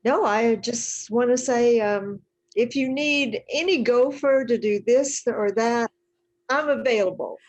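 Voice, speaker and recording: A woman's voice: fairly high pitch, unhurried. A woman speaking casually as a participant on a podcast. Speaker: podcast co-guest. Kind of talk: casual chat.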